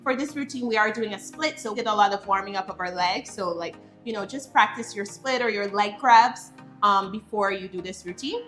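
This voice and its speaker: Bright, upbeat young woman teaching pole. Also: mid-range voice, brisk, reverberant, faint music behind. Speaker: pole dance instructor, co-host. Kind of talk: casual tutorial instruction.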